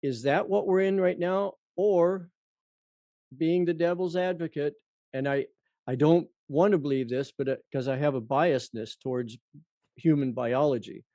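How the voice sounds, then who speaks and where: A man's voice: a little high, unhurried, mellow, no room sound. A man interviewing in a conversational way, musing earnestly and feeling his way through his questions.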